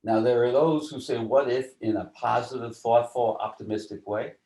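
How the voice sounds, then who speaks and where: A man explaining ideas as an interview guest in a deliberate, teacherly way, often posing rhetorical questions. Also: mid-range voice, dark tone. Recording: roomy, echoing voice.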